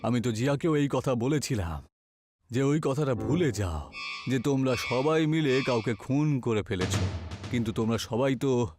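A man acts as a middle-aged inspector in a horror cartoon. He talks in a measured, dismissive way, like an official closing a matter. Loud music sits behind the words. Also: mid-range voice, lively intonation, voice pushed down, lightly acted. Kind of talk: animated horror story dialogue. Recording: loud music bed over the voice.

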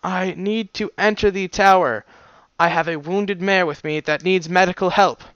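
A man's voice: sits high, steady tone, normal speed, a bit bright, smooth, no echo, muffled recording, pitched above the performer's own voice. A man voicing an impatient male dog-like creature who pleads and threatens. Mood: urgent.

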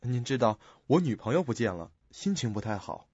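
A man's voice: medium pitch, sing-song, brisk, darkish tone, husky, no room sound, dull audio. A man playing an earnest, uneasy young investigator in a suspense radio drama. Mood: earnest, subdued.

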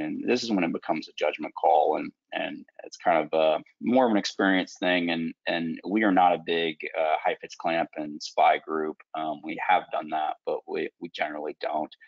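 Middle-aged male surgeon lecturing over video call, low gravelly voice, frank and chatty with fillers, dry muffled sound. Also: no echo. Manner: frank, conversational, many fillers. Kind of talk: recorded lecture with slides. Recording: dull lo-fi video call audio.

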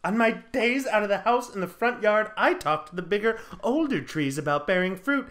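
A man's voice: sits high, lively intonation, normal speed, a bit bright, voice pushed up. A man voicing a needy, sulky talking fern in a comedy script, coquettish and indignant with its lover. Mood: wounded, dramatic.

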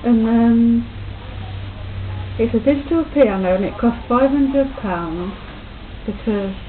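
A young woman chatting casually and self-deprecatingly in her living room, talking quietly into a built-in webcam mic. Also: slow. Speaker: home video maker. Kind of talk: casual home video chat. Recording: tinny low-quality webcam audio with faint hiss.

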